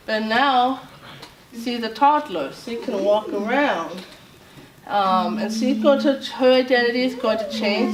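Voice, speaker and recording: A woman from Latvia giving an informal talk to a group as a self-advocate, speaking haltingly with many fillers and restarts. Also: a little high, noisy background. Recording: roomy echo, voice far from mic, faint ambience.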